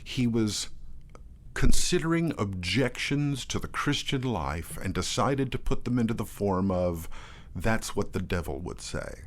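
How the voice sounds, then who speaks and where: A man talking as a guest in a casual in-depth discussion, often restarting his phrases in a halting way. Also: lowish voice, expressive. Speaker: guest, theatre artistic director and performer.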